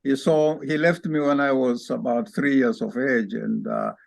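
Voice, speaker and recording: Gravelly man from Kenya, reflective. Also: mid-range voice, steady tone, no echo. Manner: reflective, unhurried storytelling. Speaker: orthopedic spine surgeon, guest. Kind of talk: interview, personal life story. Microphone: video call.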